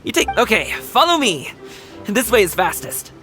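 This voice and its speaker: A woman voicing a friendly, chatty young guide in an animated video game, speaking casually and apologetically. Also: a little high, moderate pace. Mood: brisk, friendly. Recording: music behind the voice.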